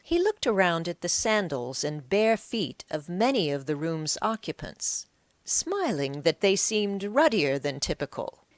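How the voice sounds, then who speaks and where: A woman's voice: on the low side, big pitch swings, measured pace, no room sound. A woman reading scripted story narration as an audiobook narrator.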